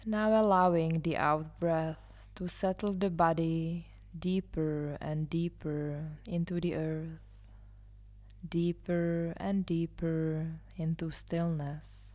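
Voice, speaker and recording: A woman leading a guided meditation as a yoga nidra guide, soothing and hushed, often trailing into a near-whisper. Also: low voice, flat delivery, takes it slowly. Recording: live instrumental music behind the voice. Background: soft live instrumental music.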